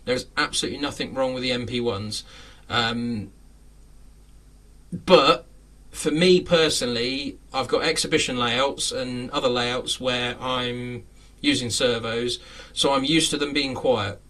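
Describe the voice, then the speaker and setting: A man in his late thirties talks casually to a live audience from his model railway room. He rambles, fills pauses with "um," and chuckles often. His pitch sits in the middle, and he is heard from a little way off the mic. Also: sharp tone, recorded from a distance. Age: 30s. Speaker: host of a live chat. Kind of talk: casual live-stream chat.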